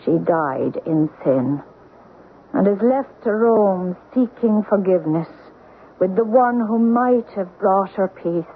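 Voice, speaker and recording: An actress playing a weary, solemn countrywoman telling grim secrets in an old-time radio horror play, with grave, deliberate delivery. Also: medium pitch, animated. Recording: noisy, muffled vintage radio recording.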